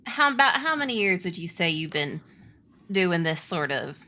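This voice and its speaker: Woman, game master, slightly low pitch, bright forward tone, tabletop horror narration, addressing players. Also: sing-song, normal speed.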